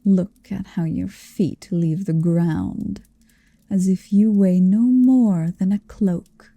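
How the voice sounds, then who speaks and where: A woman voicing a possessive, seductive motherly dragon in an intimate ASMR roleplay, breathy and whispery, speaking slowly and deliberately close to the microphone. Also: medium pitch, steady tone, warm tone, dry recording. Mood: possessive, teasing. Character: possessive, seductive motherly female dragon hoarding her captive. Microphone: close microphone, dry.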